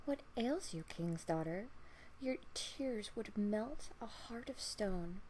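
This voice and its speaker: A woman puts on an earnest male frog from a fairy tale. He pleads and coaxes in a slow, insistent voice pitched up from plain narration. Faint noise sits behind the recording. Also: animated, a little mellow. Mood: coaxing. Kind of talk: fairy-tale storybook reading.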